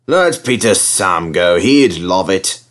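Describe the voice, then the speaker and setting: Conceited male steam engine for a storybook, read by a man, low, sulky, haughty grumbler. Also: lively intonation, fairly bright tone, heavily acted. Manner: haughty, drawn-out complaining.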